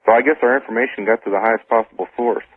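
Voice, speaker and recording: A man giving an informal first-hand account as a guest on a radio interview, halting and repeating phrases, over a telephone. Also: mid-range voice, slight background noise. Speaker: interview guest, former military intelligence serviceman. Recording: thin phone line on old radio.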